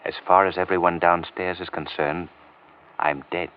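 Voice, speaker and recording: A suave, low-voiced detective from a vintage radio crime play. He is measured and commanding, heard through a muffled old broadcast. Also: flat delivery, a little hiss or hum. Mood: calm, reassuring. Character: suave, commanding male detective with secret identity. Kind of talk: 1940s radio drama acting.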